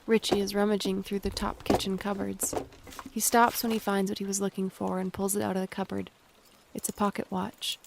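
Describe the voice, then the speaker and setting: A woman's voice: medium pitch, flat delivery, a little fast, vocal fry, slight background noise. A woman reading scripted stage directions as the narrator of an audio drama.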